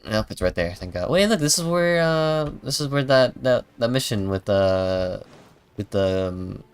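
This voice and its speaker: A game streamer giving casual livestream commentary in a creaky voice, with hesitant, meandering asides. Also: medium pitch, animated, unhurried. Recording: game music behind the voice.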